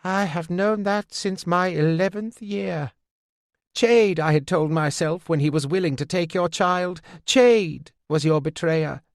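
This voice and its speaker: A shamed male jester-prophet speaks in a high, thin voice, as a man acts him out in an audiobook. The voice quivers with grief, and the delivery is slow and close to the mic. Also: no echo. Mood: anguished.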